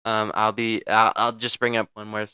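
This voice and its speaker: A young man in a casual podcast chat, lowish and smooth, recorded dry and close. He talks excitedly and leans on fillers. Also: moderate pace, no room sound. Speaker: podcast co-host.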